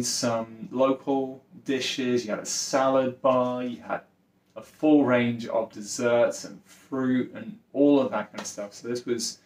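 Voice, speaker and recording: A man's voice: medium pitch, echoey room. A man in his thirties giving a casual, upbeat review, listing points one by one. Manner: upbeat, listing scores item by item. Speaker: travel vlogger.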